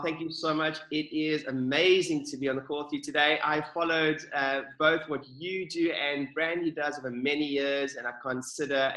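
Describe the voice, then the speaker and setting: A man's voice: on the high side, sharp tone, roomy sound, rough recording. A man with a South African accent, talking as an enthusiastic, upbeat podcast guest. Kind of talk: casual interview.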